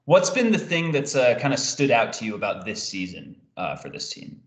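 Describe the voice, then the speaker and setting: A man's voice: medium pitch, sing-song, normal speed, roomy sound. A young man hosting a sports show with casual interview chat over a video call. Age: young adult. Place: indoor room on a video call. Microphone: video call microphone.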